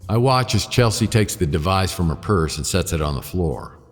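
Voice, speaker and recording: A man's voice: sits low, lively intonation, normal speed, in a large hall, soft background music. An actor playing a wry, hard-boiled private investigator who narrates his case in a laconic noir radio drama style. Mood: casual.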